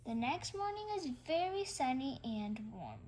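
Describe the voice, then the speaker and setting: A woman's voice: high-pitched, sing-song, measured pace, darkish tone, slight background noise. A woman reading a children's story aloud as a narrator. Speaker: storybook reader.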